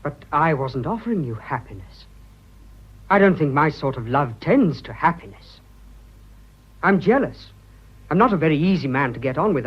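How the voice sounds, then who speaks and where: An actor playing a brash, ardent young English lover in a radio drama, with a British accent and blunt upper-class slang. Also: male voice, a little high, slightly rough. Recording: dull, muffled radio drama audio.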